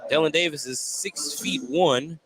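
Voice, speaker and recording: A man doing live basketball play-by-play for radio, in clipped descriptive phrases that lift with excitement on big plays. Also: fairly high pitch, measured pace, a loud crowd. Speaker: play-by-play radio sports announcer. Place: indoor basketball gym, courtside. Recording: noisy live gym ambience behind commentary.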